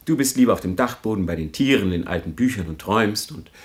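An actor playing a solemn, probing gentleman houseguest in a German radio drama, measured and questioning in manner. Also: fairly low pitch, expressive, a little hiss or hum, natural voice.

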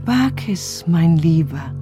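An actress playing a tender, grieving older country wife in a German radio play, speaking slowly and plaintively. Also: female voice, low voice, lively intonation, over music. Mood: tender, sorrowful.

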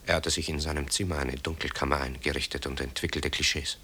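An actor playing a tormented grown son, a composer haunted by wartime guilt, in a grave, halting radio drama delivery. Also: male voice, extremely low pitch, fairly even intonation, sharp tone, slightly rough, heavily acted. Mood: tense, confiding. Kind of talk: German radio drama acting.

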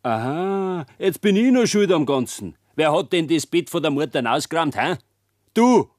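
Ein Mann spielt einen bitteren jungen bayerischen Bauernsohn, sprunghafte Tonhöhe. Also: male voice, fairly high pitch, lively intonation, moderate pace, darkish tone, no room sound, clean studio sound, natural voice. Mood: bitter, accusing. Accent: Bavarian. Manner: brooding, accusing. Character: bitter, wounded young farmer's son, stubbornly resentful. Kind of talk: German dialect radio drama acting.

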